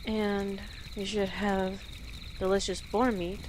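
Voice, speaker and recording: A woman playing an easygoing, friendly female orc travel guide in an audio roleplay, speaking gently and conversationally to the listener with pauses. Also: mid-range voice, level tone, a little hiss or hum, natural voice. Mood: fond, chatty. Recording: outdoor nature ambience with birdsong behind. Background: birds and rural outdoor ambience.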